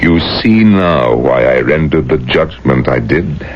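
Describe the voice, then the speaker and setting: Stern elder rabbi, extremely low and deliberate, admonishing, on hissy vintage radio drama. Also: male voice, animated. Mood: solemn. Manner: grave, admonishing, deliberate oratory. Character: stern, solemn older male rabbi. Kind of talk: 1970s radio drama acting. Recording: muffled, hissy old radio recording.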